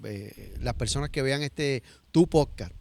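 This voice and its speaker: A man's voice: medium pitch, a little slow, vocal fry, a little mellow. A man with a Puerto Rican Spanish accent interviewing casually on a podcast, often repeating words as he forms his sentences. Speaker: guest interviewer.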